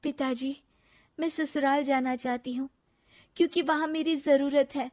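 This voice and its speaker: Woman voicing a high-pitched, pleading young daughter-in-law. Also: steady tone, moderate pace, a little mellow, natural voice. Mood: earnest. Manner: pleading, earnest. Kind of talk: dramatised moral storytelling.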